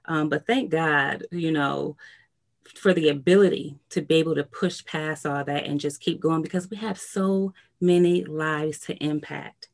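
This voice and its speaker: A woman hosts a podcast and talks with her guest in a relaxed, conversational way. She answers in an affirming, encouraging manner, at a slightly low pitch. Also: measured pace, gravelly. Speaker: podcast host. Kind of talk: casual interview conversation.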